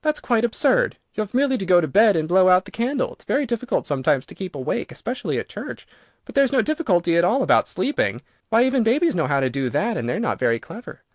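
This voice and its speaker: A man's voice: high-pitched, sing-song, fast talker, no room sound, studio quality, pitched above the performer's own voice. A man reading aloud and voicing an earnest, prim young girl in a storybook. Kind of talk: audiobook reading.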